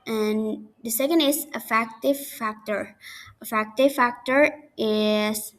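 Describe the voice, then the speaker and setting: A young woman speaks English with an Indonesian accent, pausing and restarting as she works through a class presentation. Her voice sits a little high, and she talks slowly and carefully. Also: a bit bright. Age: young adult. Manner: hesitant, halting non-native English with restarts. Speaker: student giving a class presentation.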